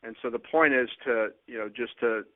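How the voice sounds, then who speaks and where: A man, a company finance executive, answering plainly in halting phrases on an earnings call. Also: mid-range voice. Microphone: conference call line. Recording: narrow phone-line sound.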